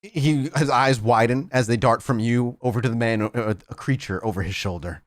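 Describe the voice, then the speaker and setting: A man's voice: mid-range voice, normal speed, dry recording, studio quality. A man narrating a tabletop horror game as storyteller, in dramatic style.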